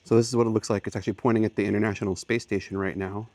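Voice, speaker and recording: A man with a fairly low voice talks through a technical setup in a casual, explanatory style. He is plain-spoken and matter-of-fact, going step by step like a hobbyist radio operator giving a tour. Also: flat delivery, racing, darkish tone.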